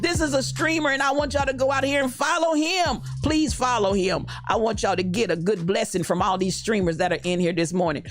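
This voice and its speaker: Woman, late fifties, pastor-host; high voice, animated and preacherly, faint music bed. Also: brisk, few pauses. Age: 50s. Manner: animated, preacherly, calls out to viewers. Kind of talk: lively livestream talk greeting chat.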